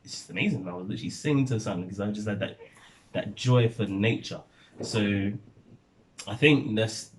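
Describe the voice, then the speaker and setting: A young man from Nigeria telling stories in relaxed casual chat, laughing often as a vlogger. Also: low-pitched, slight background noise, low-quality recording.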